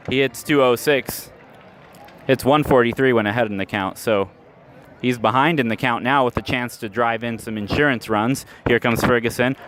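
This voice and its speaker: A man does live radio play-by-play of a baseball game at a middle pitch, with music clearly audible behind him. He speaks in short, clipped phrases that follow the action as it happens. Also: fairly bright tone. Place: live at an outdoor ballpark.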